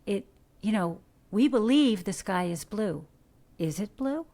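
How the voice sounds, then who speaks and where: A middle-aged American woman from Detroit, an actor giving earnest, coaching advice in a podcast interview, animated with rhetorical questions. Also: medium pitch, a little slow. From Detroit, USA. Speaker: guest, actor. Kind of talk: podcast interview, motivational talk.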